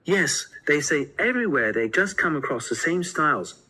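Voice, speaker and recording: A man's voice: a little high, big pitch swings, moderate pace, pushed and bright, breathy edge, heavily acted. A man playing an earnest college student explaining survey findings in a scripted listening-test dialogue. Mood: earnest, informative.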